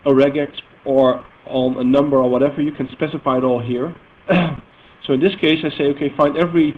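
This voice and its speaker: Dutch-accented man, mid voice, halting demo delivery, tinny lo-fi sound. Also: medium pitch. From Netherlands. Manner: halting, filler-heavy, self-correcting explanation. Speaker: software presenter demonstrating database queries. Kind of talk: live technical demo talk. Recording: tinny phone-like audio with faint noise.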